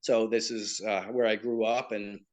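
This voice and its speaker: A man with a slight Southern American accent talks through his ideas in a relaxed, explanatory way. His speech is full of fillers and restarts, like an easygoing interview guest. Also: lowish voice, normal speed. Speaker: pilgrimage tour operator and guest. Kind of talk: conversational interview.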